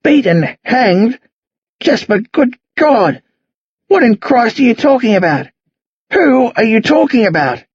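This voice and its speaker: Casting: man to act a confused old Australian fellow for an audiobook, rough-voiced, halting, full of pleading questions. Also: high voice, very animated, a little mellow, no echo, highs cut off, pitched above the performer's own voice, exaggerated voice. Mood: shocked, indignant. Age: older.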